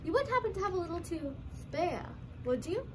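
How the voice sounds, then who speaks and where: A woman's voice: extremely high pitch, big pitch swings, brisk. A young woman voicing a polite, hungry hiker puppet in a scripted puppet show. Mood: polite, hesitant. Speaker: student puppeteer. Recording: faint background noise.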